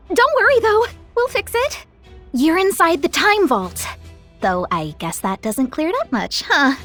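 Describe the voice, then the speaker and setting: A woman voicing a small, youthful, caring goddess in an animated video game, perky and earnest. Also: high voice, exaggerated voice.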